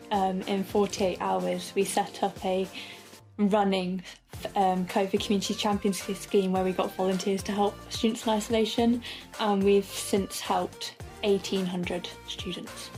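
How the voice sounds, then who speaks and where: A woman's voice: medium pitch, little pitch movement, normal speed, a little mellow, faint music behind, low-quality recording. A woman in her twenties, a student election candidate, answering interview questions in a chatty, fond way with plenty of ums. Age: 20s.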